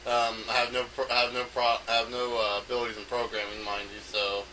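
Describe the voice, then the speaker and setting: A man giving an unscripted, rambling explanation as an amateur game designer, halting often as he thinks aloud. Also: mid-range voice, monotone, sharp tone. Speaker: amateur game designer recording an audio log. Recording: noisy home recording, distant from mic.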